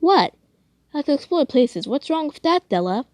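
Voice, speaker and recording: A woman's voice: sits high, very animated, normal speed, a little mellow, dry recording, pitched above the performer's own voice. A woman voicing an impulsive, easily hurt young male character in a fan story, with a whiny tone. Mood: whining, defensive.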